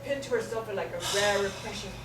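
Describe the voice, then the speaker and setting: A woman playing a vain, sharp-tongued drag queen, bossy and theatrical, in a stage drama. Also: medium pitch, quick pace, lightly acted. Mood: wry. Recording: echoey room, distant mic, noisy.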